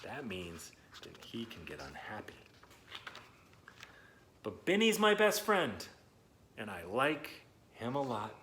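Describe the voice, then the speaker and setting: A man's voice: medium pitch, wildly swooping pitch, takes it slowly, pauses often, fairly bright tone, a little hiss or hum. A man reading and talking to young children in an enthusiastic, encouraging way, speaking to them directly and stretching words for emphasis. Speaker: children's story reader and host. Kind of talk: children's read-aloud and talk to young viewers.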